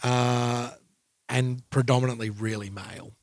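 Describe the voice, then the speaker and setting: A man talks casually as a podcast co-host. His voice sits at an average male pitch, and he picks through his thoughts in a measured, slightly hesitant way. The sound is dry, with no room on it. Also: fairly even intonation, no echo. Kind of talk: casual music discussion.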